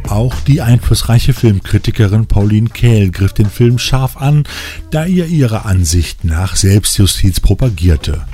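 A man's voice: on the low side, animated, a little slow, mellow. A man reading scripted narration as a podcast host. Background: background music.

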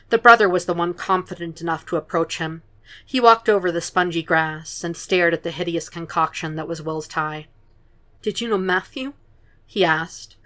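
A woman reading a fan fiction story aloud in a slow, measured, quiet narration. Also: on the low side. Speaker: podfic reader.

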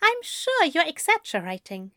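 A woman's voice: extremely high pitch, huge pitch swings, moderate pace, pushed and bright, no echo, clean studio sound, heavily acted. A woman voicing a prim, contented man who teases his lover in a fan fiction audio drama. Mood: playful. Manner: prim, playfully indignant.

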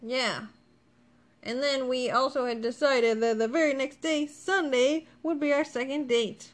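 A woman's voice: sits high, fairly even intonation, a little slow. A woman from Ohio co-hosting a casual podcast about her relationship, chatty and a bit defensive. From Ohio, USA. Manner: chatty, defensive, laughs often. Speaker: podcast co-host. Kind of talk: casual couple chat.

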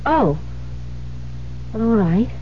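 An actress playing a frightened but determined young bride in a 1970s radio drama, hesitant and earnest. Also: female voice, fairly high pitch, sing-song, takes it slowly. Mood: uneasy, hesitant. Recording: dull, noisy 1970s radio recording.

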